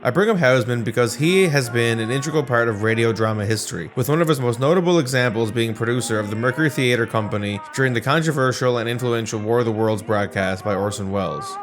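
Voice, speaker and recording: A man's voice: mid-range voice, fast talker, hardly any pauses, faint music behind. A man narrating a scripted video essay.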